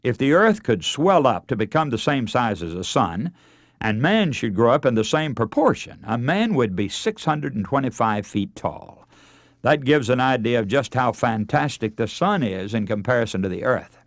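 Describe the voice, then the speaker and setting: A man preaches a radio sermon in an earnest, oratorical way. His pitch moves widely as he stresses key words with deliberate enunciation. The sound is dry and close, with very little room in it. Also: no room sound. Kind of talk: radio sermon, oratorical lecture with scripture readings.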